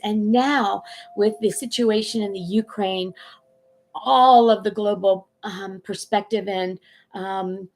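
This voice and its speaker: A woman's voice: mid-range voice, darkish tone. A middle-aged American woman hosting her own channel, talking earnestly and emotionally to camera with fillers and restarts. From United States. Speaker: channel host. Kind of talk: personal talk to camera.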